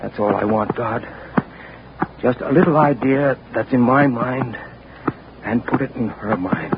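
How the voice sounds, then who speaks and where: A man's voice: mid-range voice, unhurried, close to an everyday voice. A man playing a desperate trapped man, old radio. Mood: praying. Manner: pleading, urgent, talks to himself. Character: wounded man trapped in a silent body, desperate. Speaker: actor in a radio drama. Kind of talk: 1940s radio acting, inner monologue. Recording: muffled old radio recording with hiss.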